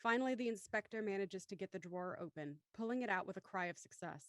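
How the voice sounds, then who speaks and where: A woman reading scripted narration for a radio mystery in a deliberate, dramatic way. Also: mid-range voice, expressive, dry recording.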